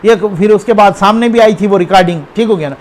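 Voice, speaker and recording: A man's voice: high-pitched, fast, a little hiss or hum. A Pakistani man giving informal, unscripted political commentary, talking directly to the viewer and checking in with rhetorical questions. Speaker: news commentator and host.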